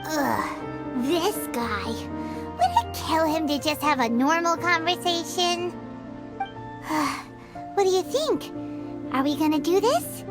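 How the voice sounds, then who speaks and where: A very high, squeaky little female creature who drawls her words slowly and swoops all over the place. She is blunt and sassy and quick to gripe, a game companion with video game music underneath. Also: lively intonation. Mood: exasperated.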